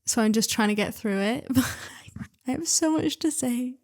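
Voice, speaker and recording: Young woman reading stories out loud, kinda high voice, chatty, keeps butting in with her own comments. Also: normal speed. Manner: chatty, excitable, interrupts herself with asides. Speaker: reader and channel host.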